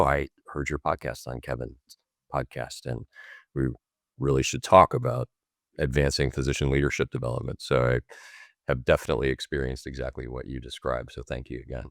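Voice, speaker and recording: A man's voice: a bass voice, vocal fry, no room sound, clean studio sound. A man hosting a podcast, asking long, winding questions and affirming his guest. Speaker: podcast host. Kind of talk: conversational interview questions.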